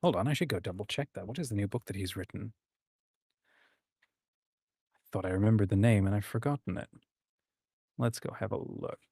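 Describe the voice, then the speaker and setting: A man's voice: fairly low pitch, a little fast, creaky, long pauses, mellow, slightly rough, dry recording, clean studio sound. A man hosting a livestream in a casual, informal and encouraging chatty way. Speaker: host of a writing community livestream.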